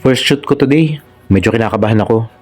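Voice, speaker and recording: A man's voice: medium pitch, quick pace, soft background music, natural voice. A Filipino man voicing a shy, charming male model in his early twenties in a romance story. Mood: nervous.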